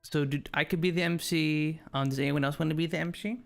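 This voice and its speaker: A man hosting a casual playthrough, reading a visual novel's narration aloud and sometimes halting over unfamiliar words. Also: a little high, steady tone, creaky. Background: background game music.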